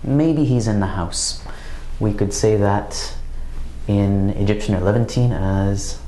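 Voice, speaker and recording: Man, language teacher, mellow voice, slow careful explanations, roomy echo. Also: lowish voice, slight background noise. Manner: slow, deliberate explanations with example phrases. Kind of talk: recorded grammar lesson.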